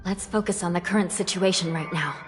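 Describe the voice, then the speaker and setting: Game role, woman acting: stern female judge-commander, low register, solemn formal delivery, reverberant, music underneath. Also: a little fast, close to an everyday voice. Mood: composed, grave. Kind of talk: English video game voice acting. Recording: long reverb, loud music behind.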